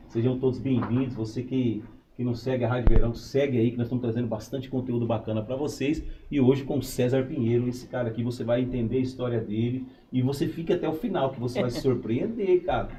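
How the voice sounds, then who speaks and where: A man's voice: mid-range voice, fast talker, mellow, slight background noise, low-quality recording. A man with a Brazilian accent hosting an informal live radio interview, hyping it up with slangy, repeated phrases. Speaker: radio show host. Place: radio studio.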